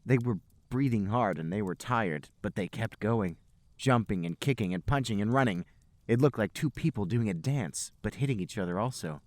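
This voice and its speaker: A man's voice: on the low side, lively intonation, normal speed, darkish tone, no room sound. A man, a podcast host, reading fan fiction aloud as a storybook-like narration.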